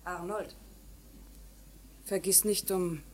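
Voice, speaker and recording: A woman's voice: fairly low pitch, fairly even intonation, slow, darkish tone, slight background noise. An actress playing an earnest, dutiful, reproachful woman in a German radio play, speaking with restraint. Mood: subdued, disapproving.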